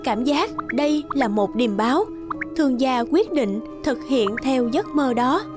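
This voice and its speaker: A woman narrates a children's fairy tale in a high-pitched voice. Her reading is scripted storytelling, with music playing underneath. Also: expressive, a little slow.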